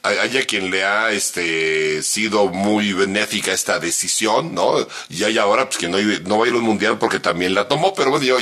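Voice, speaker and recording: A male sports commentator with a Mexican accent, his voice fairly low yet forceful and bright. He gives opinions in a reflective, roundabout conversational way, tagging questions onto his points. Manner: discursive, reflective, ending points with tag questions.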